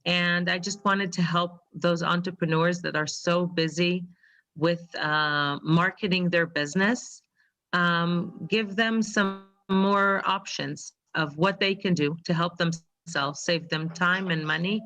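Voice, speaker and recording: A woman whose first language is Hebrew, sharing her story on a video call and pausing often with ums. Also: on the low side, steady tone, pushed and bright, rough recording. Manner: hesitant, many ums. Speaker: webinar participant. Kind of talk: casual pitch on a video call.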